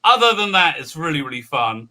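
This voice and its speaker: Man, interviewer, excitable, stumbles, bright raised voice, video call. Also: a little high, a little fast. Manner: excitable, stumbling over words. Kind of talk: casual video chat.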